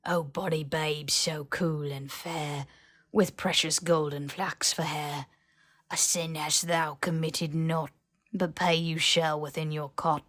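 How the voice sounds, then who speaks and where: A woman plays a small, old male house-elf from a fantasy audiobook. He has a raspy voice and intones ominous rhymes at a very slow, solemn pace. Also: low-pitched, little pitch movement, a bit bright, dry recording, studio quality, exaggerated voice. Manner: slow solemn reciting of verse.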